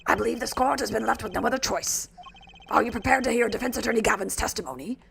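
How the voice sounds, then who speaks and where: A woman voicing a fussy, easily flustered older male judge, with a gravelly, pompous manner. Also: a little high, animated, fast talker, fairly bright tone, a little hiss or hum, exaggerated voice. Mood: stern. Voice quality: gravelly creaky voice.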